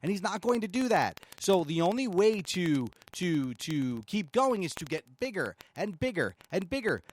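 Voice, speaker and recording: A middle-aged man hosting a show, giving halting, sarcastic, exasperated commentary on played clips. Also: sits high, big pitch swings, slight background noise. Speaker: podcast host, commentator.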